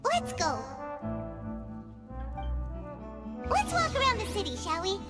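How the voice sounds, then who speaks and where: A tiny childlike fairy companion from a video game. The voice is extremely high and squeaky, very animated and cutesy, with clear music playing behind it. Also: female voice, brisk. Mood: subdued. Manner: refers to herself in third person, cutesy.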